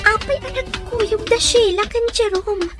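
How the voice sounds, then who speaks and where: A woman's voice: very high-pitched, unhurried, music in the background. An actress playing a fretful young girl in a serial audio drama. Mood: anxious.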